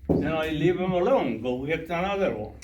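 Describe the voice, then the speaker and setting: A man's voice: on the high side, lively intonation, normal speed. A middle-aged man from Iran with a Persian accent, a minister speaking broken English to a group and putting questions to them. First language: Farsi. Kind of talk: workshop talk with audience questions. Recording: echoey room from a distance, faint noise.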